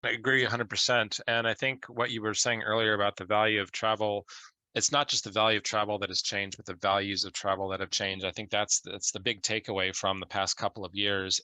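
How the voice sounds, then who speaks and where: A man's voice: on the low side, bright, forceful tone, no room sound. A man hosting an interview podcast, talking in a friendly, conversational way with frequent restarts. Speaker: podcast host.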